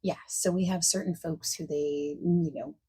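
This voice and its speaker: A woman playing a friendly, accommodating party planner in a softspoken ASMR roleplay, chatting casually and reassuringly, at times nearly whispering. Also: on the low side, fairly even intonation, breathy edge. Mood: reassuring, helpful. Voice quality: soft-spoken, breaking into near-whispers. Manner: casual, reassuring, chatty, pausing for unheard replies. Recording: faint noise, keyboard typing and clicking.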